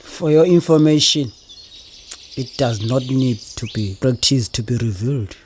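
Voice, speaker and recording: Man playing a slow, grumpy, sardonic dassie. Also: mid-range voice, a little mellow, slight background noise, natural voice. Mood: smug, defensive. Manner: sardonic, unimpressed. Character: Mbila, grumpy idle dassie, scornful and self-satisfied. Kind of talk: musical comedy audio theatre for children.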